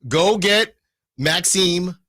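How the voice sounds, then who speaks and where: A man in his forties hosting a sports talk radio show, animated and rambling, with frequent restarts as he works through a point. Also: sits high, drawn-out words, no room sound, clean studio sound. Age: 40s.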